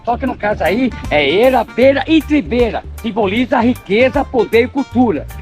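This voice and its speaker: An older man with a notably high voice leads a village tour, mixing history with wisecracks and tripping over his words now and then. His colors every line, and a faint strain of music runs in the background. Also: expressive, normal speed, darkish tone. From Bahia, Brazil. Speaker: local tour guide. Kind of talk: joking storytelling tour talk.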